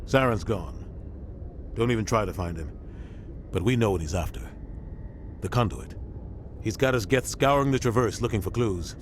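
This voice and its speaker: An actor playing a seasoned, steady middle-aged navy captain and mentor in a video game. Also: male voice, fairly low pitch, moderate pace, long pauses, slight background noise, close to an everyday voice. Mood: briefing.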